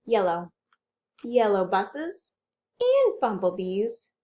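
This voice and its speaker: Very animated, slow-paced woman hosting children's storytime. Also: medium pitch. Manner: animated, exaggerated, addressing young children. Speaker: children's librarian storytime host. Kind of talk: children's storytime read-aloud, singsong rhymes.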